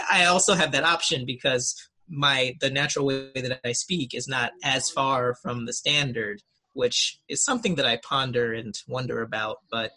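Bright-voiced man, thoughtful and hesitant. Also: medium pitch, rough recording. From United States. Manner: thoughtful, hesitant, many fillers and restarts. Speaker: student in a linguistics discussion. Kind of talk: casual video-call discussion. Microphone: video call.

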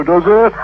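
An actor playing a foolish, tipsy Victorian husband in an old radio drama, hesitant and pompous. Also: male voice, high-pitched, gravelly, heavily acted. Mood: giddy, flattered. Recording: thin, tinny vintage radio recording.

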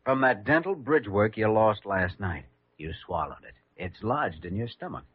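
An actor playing a polite, reassuring hospital doctor who explains things sensibly, in old radio drama style. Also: male voice, fairly low pitch, animated, normal speed, slightly rough. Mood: explanatory. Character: calm, reassuring, reasonable male hospital doctor. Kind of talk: 1940s radio drama acting. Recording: tinny old radio recording.

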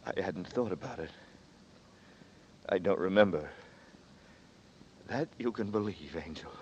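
An actor playing a weary, guarded fugitive in a 1940s noir radio drama, speaking in a halting, understated way. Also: male voice, deep voice, animated, darkish tone, slight background noise. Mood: weary, reflective. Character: weary, guarded man on the run, feeling his way. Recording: muffled 1940s radio recording.

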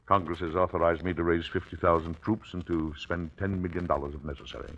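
An actor playing a dignified, middle-aged president and father in old-time radio drama, formal, measured and easily exasperated. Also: low voice, fairly even intonation, a bit bright, lo-fi sound. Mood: grave, measured. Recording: old radio recording, faint hiss.

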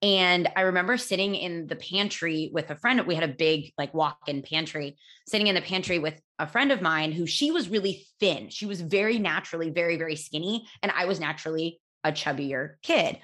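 A woman in her thirties, a podcast host, telling her own story in a candid, chatty way, loud-voiced, with fillers and restarts. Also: on the low side, fairly quick, few pauses. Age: 30s. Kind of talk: personal storytelling monologue.

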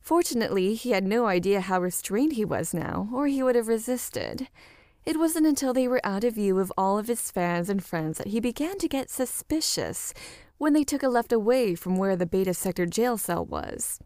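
A woman narrates scripted fiction in the manner of an audiobook. Her pitch sits in the middle for a female voice, and the sound is dry, free of room echo. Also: expressive, normal speed, mellow.

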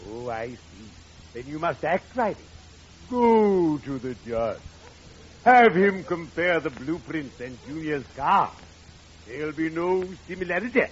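An actor playing a morbidly genial undertaker in an old radio comedy, solemn, formal and punning. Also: male voice, a little high, animated. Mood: advising. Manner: formal, grandly deliberate, punning. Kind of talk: 1940s radio comedy acting. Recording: dull, noisy old radio recording.

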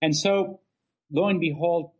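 Measured middle-aged male academic being interviewed. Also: a little high, a little mellow. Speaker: history professor and author, interview guest. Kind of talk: conversational interview storytelling.

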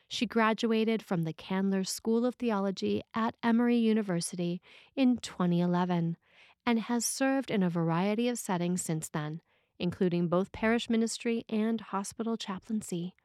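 A woman with a mid-range voice delivers a prepared sermon. Her reading is measured and reflective. The recording is dry, with very little room sound around her. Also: medium pitch, a little mellow, no echo. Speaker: preacher reading a written sermon.

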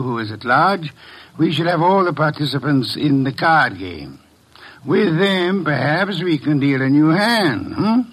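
A man playing a pompous, imperious fat detective in an old radio drama, speaking in formal, pedantic, deliberate phrases. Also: mid-range voice, a bit bright, rough recording.

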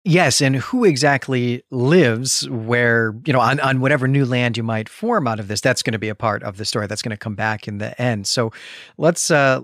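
Man, podcast co-host, mid-range pitch, measured and articulate, explanatory book talk, very dry close sound. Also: fairly bright tone, no echo. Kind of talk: book discussion with story recap.

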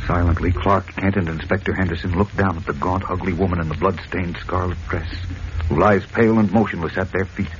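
A man's voice: low-pitched, steady tone, fairly quick, lightly acted. A man narrating a 1940s radio adventure serial as its announcer, in a dramatic scripted style. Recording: muffled, noisy old radio recording.

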